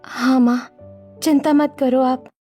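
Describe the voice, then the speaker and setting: Woman voicing a high-pitched, anxious young bride. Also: level tone, slow, dark tone, faint music behind. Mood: dutiful. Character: anxious young bride, dutiful new daughter-in-law. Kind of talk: scripted Hindi family drama storytelling.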